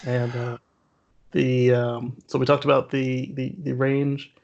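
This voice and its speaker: A man with a gravelly, fairly middle-pitched voice talks through news casually as a show co-host. He speaks haltingly, and the audio is a bit dull and lo-fi. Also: little pitch movement, darkish tone.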